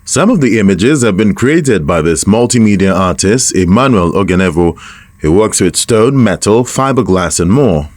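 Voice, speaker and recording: A man's voice: on the low side, expressive, normal speed, darkish tone, no room sound. A man reading scripted narration for an arts report.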